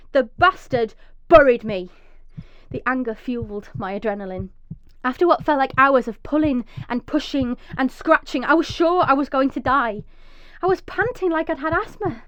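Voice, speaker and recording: An actress playing a desperate, vengeful mother trapped underground, narrating intensely in a breathy first-person radio drama monologue. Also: high voice, expressive, moderate pace. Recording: echoey, slightly dull recording.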